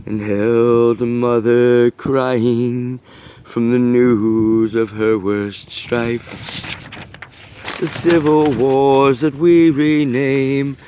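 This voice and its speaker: A man reading his own rhyming poem aloud in an earnest, deliberate and rhythmic delivery. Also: mid-range voice, a little mellow, slight background noise. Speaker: poet reading own work. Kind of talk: recited rhyming poetry reading.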